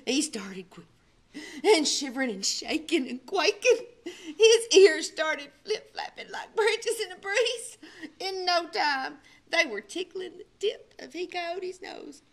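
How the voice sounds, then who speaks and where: Very animated woman storyteller, high and bright. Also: a little slow. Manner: theatrical, emphatic, with hushed dramatic drops. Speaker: librarian storyteller. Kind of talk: animated children's storybook narration.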